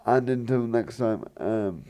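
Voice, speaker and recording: A man hosting a gaming channel, talking in a rambling, hesitant way with lots of fillers and restarts, his voice croaky. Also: mid-range voice, takes it slowly, warm tone. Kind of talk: rambling let's play commentary.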